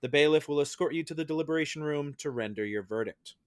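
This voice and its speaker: A man's voice: a little high, fast. A man presiding as judge over a comic podcast trial, speaking in a dryly official, hesitant way. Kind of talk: semi-formal comic hosting.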